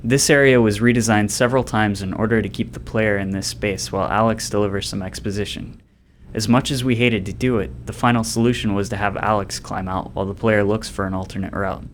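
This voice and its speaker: A man's voice: on the low side, a little hiss or hum. A man who develops games, explaining design compromises in scripted commentary. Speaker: game developer.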